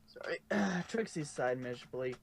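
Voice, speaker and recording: A man's voice: fairly high pitch, expressive, moderate pace, gravelly, a little hiss or hum. Man narrating a story, stumbling slightly. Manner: hesitant reading with stumbles and self-corrections. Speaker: fan fiction narrator.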